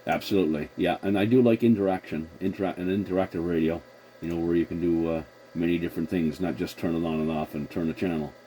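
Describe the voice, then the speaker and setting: Male CB operator, low mellow voice, casual radio chat with formal call procedure, some hiss underneath. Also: steady tone, quick pace, low-quality recording. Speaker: CB radio operator and video host.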